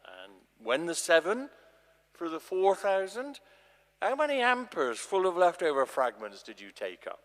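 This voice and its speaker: A man's voice: sits high, wildly swooping pitch, a little slow, a bit bright, voice pushed up, exaggerated voice. A man performs a commanding male teacher from scripture, exasperated and emphatic. The phrases are declaimed and drawn out, echoing through a long church reverb. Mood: exasperated, urgent.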